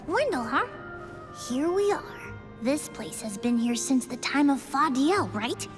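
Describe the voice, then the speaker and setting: Very high-voiced, animated, cheeky little game sprite, proud and dismissive. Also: female voice, a little slow, music in the background. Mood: musing, aloof. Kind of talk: video game voice acting.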